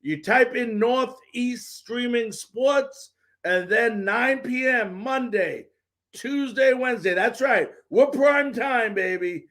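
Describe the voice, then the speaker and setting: A man's voice: very high-pitched, slow, a bit bright. A man hosting a live sports talk show, speaking with an emphatic, announcer-style delivery.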